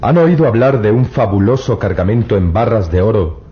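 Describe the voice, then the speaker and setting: A man's voice: lowish voice, lively intonation, a little fast, slight background noise, lightly acted. A man playing an earnest young treasure hunter. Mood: persuasive. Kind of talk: vintage radio drama acting. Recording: dull lo-fi old radio recording.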